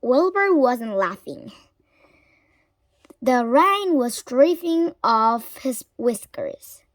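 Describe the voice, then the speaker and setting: Slow, high-voiced woman reading a storybook. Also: lively intonation, dry recording. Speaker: storybook reader. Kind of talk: children's story read aloud.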